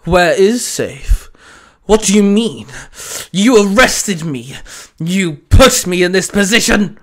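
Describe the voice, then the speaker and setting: A man's voice: sits high, expressive, slow pace, a bit bright, pitched above the performer's own voice, exaggerated voice. A man playing a bitter, betrayed male alien outlaw in an audio story, with a barking, accusing manner.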